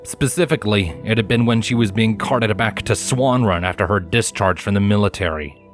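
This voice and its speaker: Guy with a lowish voice reading a fantasy story as narrator, soft music underneath. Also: fairly quick.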